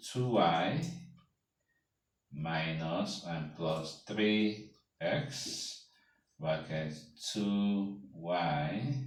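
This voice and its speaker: A man tutoring a math lesson step by step, patiently checking whether the viewer follows. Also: low-pitched. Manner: patient, checks in with "okay?" and "can you see that?". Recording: echoey room, mic far off.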